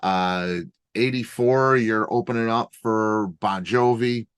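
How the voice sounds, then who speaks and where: A male interviewer with a lowish voice works through long, filler-heavy questions. His tone is chatty. The recording is close and dry, with little room sound. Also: steady tone, takes it slowly, clear, resonant tone, no echo.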